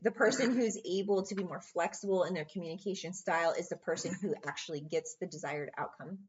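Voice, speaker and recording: A woman with a husky, rather low voice talks gently in a casual chat. By her own account she is no yeller. The recording sounds a bit muffled. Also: fast talker. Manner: says her voice is small and soft, not a yeller. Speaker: guest, former sheriff's deputy.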